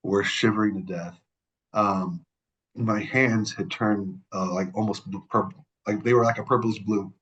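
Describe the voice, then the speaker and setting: Emphatic lower-voiced man telling a story. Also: steady tone, unhurried, a bit bright, muffled recording. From Middle East. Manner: emphatic, repeats words for stress; frequent restarts and self-corrections. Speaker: podcast guest. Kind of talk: casual conversation, personal storytelling.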